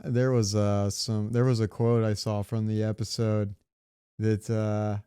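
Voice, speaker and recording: A man's voice: on the low side, little pitch movement, unhurried, mellow, clear, resonant tone, no echo, studio quality. A man co-hosting a podcast, chatting informally and with strong opinions about pop culture, swearing now and then. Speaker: podcast co-host. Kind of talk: casual pop-culture chat.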